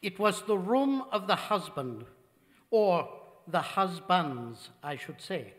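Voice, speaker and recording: A man's voice: high voice, sing-song, slow pace, a bit bright, full character voice. An older man playing a grave, formal, devoted manservant with stiff, deferential speech in a stage radio drama. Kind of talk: old-time radio drama acting. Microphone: vintage-style stand microphone. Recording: live theater stage, long hall reverb.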